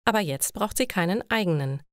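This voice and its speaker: A woman reads scripted stories for language learners as narrator. Her pitch is average for a woman, and the recording is very dry. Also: lively intonation, a little fast, dry recording.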